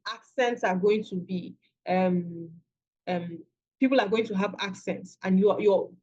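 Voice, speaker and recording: A woman's voice: medium pitch, measured pace, darkish tone, muffled recording. A woman with a Nigerian accent, teaching a masterclass in a conversational way and restarting her phrases often. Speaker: virtual assistant trainer. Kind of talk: masterclass lecture.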